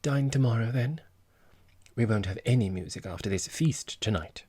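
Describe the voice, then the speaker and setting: A man's voice: lowish voice, moderate pace, a little mellow, breathy edge. A man voicing an imperious, gushing society woman, a grandly gracious hostess, in an audiobook. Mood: relenting, inviting. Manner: grand, effusive. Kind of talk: audiobook character voice.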